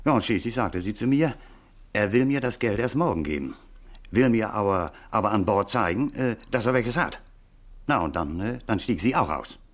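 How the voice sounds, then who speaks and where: Halting, deep-voiced cabbie. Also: male voice, animated. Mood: halting, recalling. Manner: halting, hemming, chatty, small nervous chuckles. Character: eager, hesitant Hamburg taxi driver witness. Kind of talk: 1950s German radio crime drama acting.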